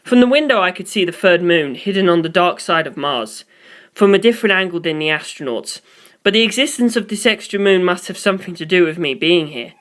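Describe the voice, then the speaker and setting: A man's voice: high-pitched, animated, fairly quick, fairly bright tone. Man narrating a first-person story.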